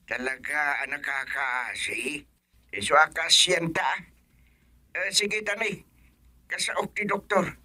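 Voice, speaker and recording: A man's voice: fairly high pitch, slow pace, long pauses, pushed and bright, no room sound, heavily acted. A man playing a harried husband in a radio drama, explaining an emergency insistently. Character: harried husband phoning home about an emergency.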